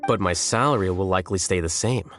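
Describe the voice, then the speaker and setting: A young man voicing a cool, smug, logical scholar in a video game, measured and deadpan. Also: deep voice. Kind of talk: understated video game voice acting. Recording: studio voice over game music. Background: game music.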